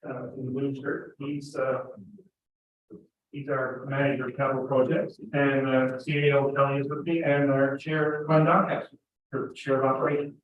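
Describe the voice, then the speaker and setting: A man with an Irish accent speaks in an even, little-varying tone, answering as a county engineering director at a meeting. He sounds far from the mic in a very echoey room, slightly muffled. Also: mid-range voice, a little hiss or hum. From Ireland. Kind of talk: informal answers at a council meeting. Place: meeting room heard over a video meeting.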